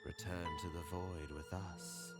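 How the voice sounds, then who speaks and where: A man's voice: low-pitched, flat delivery, a little slow. An actor playing a grim dead soldier stepfather in a visual novel, cold and solemn, without joy. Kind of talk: dramatic visual novel voice acting. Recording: loud music over the voice. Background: loud music.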